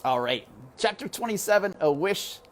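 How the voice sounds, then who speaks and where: A woman reading a children's book aloud with expressive, animated narration and casual asides to her listeners. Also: mid-range voice, normal speed. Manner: animated, addresses listeners as friends. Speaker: read-aloud storyteller. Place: outdoors in wind. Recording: windy outdoor ambience noise.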